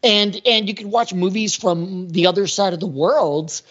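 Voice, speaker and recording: A man's voice: sits high, normal speed, fairly bright tone. A middle-aged man talks as a panelist in a casual chat. He presses his points emphatically and checks for agreement as he goes. The recording is slightly dull and lo-fi. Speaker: podcast panelist.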